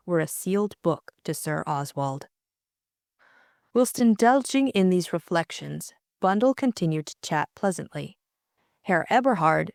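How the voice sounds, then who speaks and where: Female audiobook narrator, lower register, measured literary delivery, close dry studio-style sound. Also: a little mellow, no echo.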